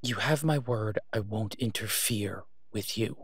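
A man playing an exasperated, protective vigilante in a phone-call audio roleplay, speaking urgently and emphatically, with pleading pauses. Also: fairly low pitch, slightly rough, no echo, clean studio sound. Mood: worried, pleading. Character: exasperated, protective masked male vigilante on patrol.

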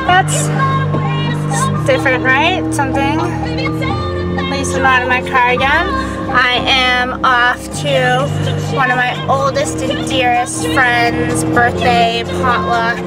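A young, heavily pregnant woman talks into a phone held at arm's length inside her car. She rushes through her news in an excited, chatty way. Her voice sits somewhat high. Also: rough recording. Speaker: vlogger. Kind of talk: casual vlog talk to camera. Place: inside a parked or moving car.

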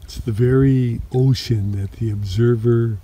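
A man sharing a spiritual reflection outdoors by a shoreline, talking softly and haltingly with many pauses and fillers. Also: mid-range voice, fairly even intonation, slightly rough. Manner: slow, soft-spoken, halting with many fillers. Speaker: meditation teacher. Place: lakeshore outdoors.

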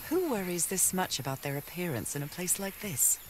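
A woman's voice: fairly low pitch, sing-song, brisk, noisy background. An actress playing a curious 1930s woman explorer in a video game, musing to herself in a thoughtful, wry way. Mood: wry, pondering. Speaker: game protagonist narrator. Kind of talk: scripted musing monologue. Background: background music.

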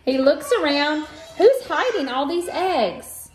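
A woman's voice: sits high, lively intonation, slow, over music. An American woman in her thirties reading a picture book aloud and chatting casually to children, with sing-song emphasis. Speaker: read-aloud host. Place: small room at home. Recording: roomy echo, faint background noise.

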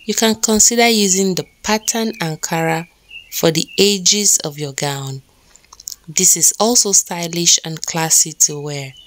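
A woman narrating a scripted fashion explainer in a measured, explanatory manner. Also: fairly low pitch, a little hiss or hum.

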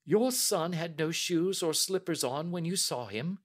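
A man's voice: on the high side, animated, measured pace, voice pushed up. A man reading aloud and voicing a middle-aged male detective in a precise, formal, assured manner.

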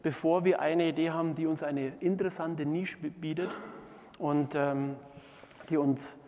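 A middle-aged man giving a conference keynote in a halting manner, with frequent pauses. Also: a little high, fairly even intonation, mellow. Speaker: keynote speaker, management consultant. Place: large indoor conference hall, on stage before seated audience. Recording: reverberant conference hall.

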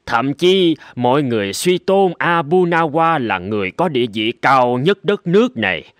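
A man's voice: mid-range voice, big pitch swings, normal speed, no echo, close to an everyday voice. A man playing a spiteful, scheming town official in a cartoon folk tale. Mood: sly, obsequious.

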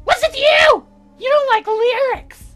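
A woman voicing an eager, flustered young heroine in a visual novel, squeaky and halting. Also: fairly bright tone, faint music behind.

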